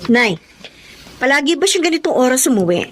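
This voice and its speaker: A high, youthful voice with a Filipino accent, a hardworking, devout older sister. She speaks earnestly and expressively, like everyday family talk in a radio drama. There is faint noise behind her. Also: big pitch swings, fairly quick. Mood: concerned, casual. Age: young adult. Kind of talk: Tagalog radio drama acting.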